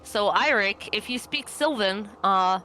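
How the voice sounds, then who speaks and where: A woman speaking in character as a player in a tabletop roleplaying game, deliberate and reassuring. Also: medium pitch, pushed and bright, soft background music. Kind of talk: improvised in-character conversation.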